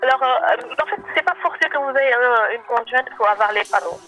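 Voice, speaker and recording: A woman working as a telemarketer, giving a polite, explanatory sales pitch on a phone call. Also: fairly quick, bright, forceful tone. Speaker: telemarketer selling solar panels. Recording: phone call over faint music.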